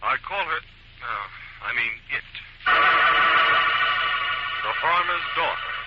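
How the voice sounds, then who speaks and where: An American man playing a confident, pedantic detective in a 1940s radio mystery, speaking in a deliberate, declamatory way. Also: mid-range voice, animated, music in the background. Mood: genial, formal. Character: confident male amateur detective, precise and pedantic. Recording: muffled, very noisy vintage radio recording.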